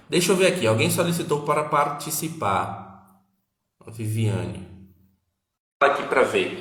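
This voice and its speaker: A man's voice: medium pitch, fairly bright tone. Chatty man in an echoey room. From Salvador, Bahia, Brazil. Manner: chatty, repeats tag questions, emphatic. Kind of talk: casual teaching chat with viewers. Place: at home.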